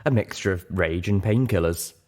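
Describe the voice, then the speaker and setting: A man's voice: low voice, moderate pace, a little mellow, close to an everyday voice. A man voicing a worldly young pony mare who explains things frankly and matter-of-factly in an audiobook. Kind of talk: audiobook character dialogue.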